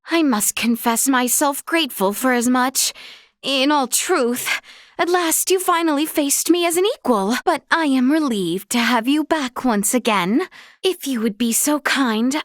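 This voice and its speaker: A woman's voice: sits high, dry recording, studio quality. A woman voicing an earnest, courteous young noblewoman warrior in a video game, with formal, old-fashioned, genteel phrasing. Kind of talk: scripted video game character voice lines.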